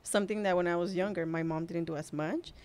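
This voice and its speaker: A young woman from Mexico whose first language is Spanish, a mother sharing her story as a podcast guest in a soft, hesitant way with frequent laughs. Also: on the low side, fairly even intonation, fast talker. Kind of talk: casual personal storytelling.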